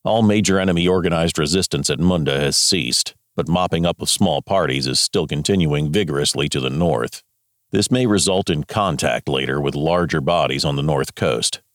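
Male narrator, deep and formal, military dispatch read, close dry recording. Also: normal speed, no room sound. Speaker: reader of wartime military dispatches.

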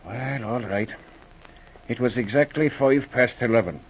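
A man's voice: mid-range voice, unhurried, husky. An actor playing a downhearted old Irish night watchman in a 1940s radio drama. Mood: earnest recounting. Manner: plaintive, resigned. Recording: muffled old radio recording.

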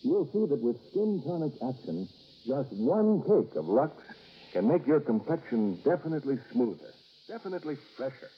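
Male mid-century radio pitchman, animated mid-range voice, polished and emphatic, dull vintage broadcast sound. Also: husky. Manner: emphatic, deliberate repetition, polished. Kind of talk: 1950s radio announcing, scripted sales pitch.

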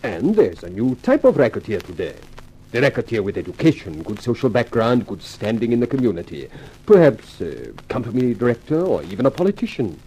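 A smooth continental racketeer from a 1950s radio mystery. His speech is formal and urbane, with swooping pitch over a soft, dusky, slightly rough tone. He stays coolly courteous while he schemes. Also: very animated, poor audio. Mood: smooth, insinuating. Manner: formal, measured, urbane phrasing. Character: suave scheming racketeer, European adagio dancer, coolly courteous. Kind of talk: 1950s radio drama acting.

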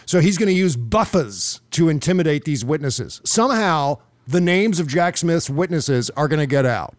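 A man's voice: fairly high pitch, lively intonation, normal speed, dry recording. An American man hosting a podcast, giving casual, emphatic political commentary and repeating phrases for effect. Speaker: podcast host.

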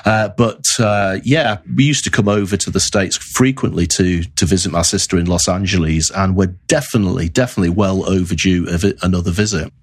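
Low, husky Northern English man. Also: fairly even intonation, fairly quick, few pauses, dry recording, clean studio sound. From Northern England. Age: middle-aged. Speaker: podcast guest, marketing professional. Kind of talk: casual interview chat.

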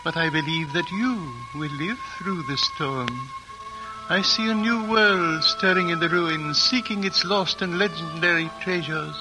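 An actor playing an ancient, dying male lama who speaks in slow, grave, prophetic tones, recorded in a 1940s radio drama. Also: a little high, natural voice, poor audio. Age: older. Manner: slow, grave, solemn pronouncements. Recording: old radio broadcast with music underscore.

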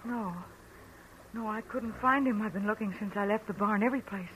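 A woman's voice: mid-range voice, a little fast, a bit bright, natural voice. A woman playing an anxious, secretive young woman in an old radio drama, with hesitant, questioning delivery. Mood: defensive, worried. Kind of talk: 1940s radio drama acting. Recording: noisy old-time radio recording.

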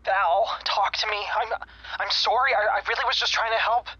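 A man's voice: sits high, steady tone, normal speed, pushed and bright, breathy edge, no room sound, dull audio, exaggerated voice. An actor playing an earnest, apologetic young customer service representative in an audio drama, stammering and restarting his phrases. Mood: apologetic, pleading. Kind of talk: naturalistic audio drama acting.

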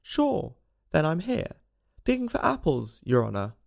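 Storybook servant, acted by a man: meek, folksy, deferential to his master, animated pitch, gravelly. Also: measured pace, exaggerated voice. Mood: deferential, reluctant. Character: meek, deferential servant Pat, stubbornly honest.

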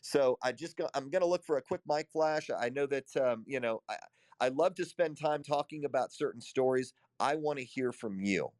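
A man hosting a live audio show, energetic and encouraging, calling listeners up to share their stories. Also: medium pitch, moderate pace, no echo. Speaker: host.

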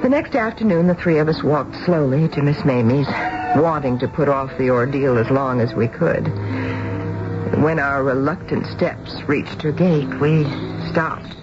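Middle-aged mother and storyteller: unhurried, earnest, dramatic, muffled vintage radio sound. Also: low-pitched. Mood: grave. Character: worried, gentle mother of a grown daughter. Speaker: narrator and character in the story. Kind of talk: radio drama acting and narration. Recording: muffled old radio recording with music.

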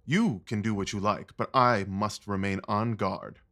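A man playing a brooding, guarded Victorian gentleman detective in an audio drama, speaking in a clipped, formal, world-weary way. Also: fairly low pitch, fairly even intonation, a little slow. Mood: troubled, restless.

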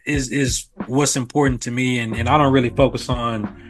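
A man's voice: medium pitch, fairly even intonation, normal speed, over music. A man in casual conversation who repeats his words and keeps checking that listeners agree. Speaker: podcast guest. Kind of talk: casual chat.

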